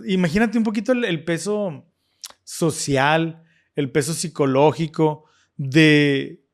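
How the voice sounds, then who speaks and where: A man hosts a scripture discussion in an earnest, reflective way. His voice sits a little higher than most men's. He often pauses on fillers and restarts his sentences. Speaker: podcast host.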